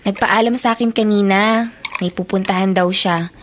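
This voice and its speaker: A woman's voice: mid-range voice, fairly even intonation, moderate pace, a little hiss or hum, natural voice. An actress playing a respectful, dutiful Filipino schoolgirl in a radio drama. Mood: worried, confiding.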